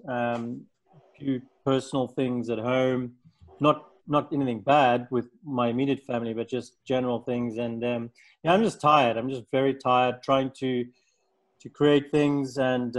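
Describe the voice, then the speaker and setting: A man from South Africa talking casually on a video call, halting and tired, with many ums. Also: mid-range voice, low-quality recording. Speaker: designer in a video call group. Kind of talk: casual chat.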